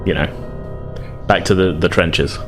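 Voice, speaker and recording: A man's voice: sits low, steady tone, unhurried, over music. A man running a tabletop game as game master, casual, conversational and a little hesitant as he narrates.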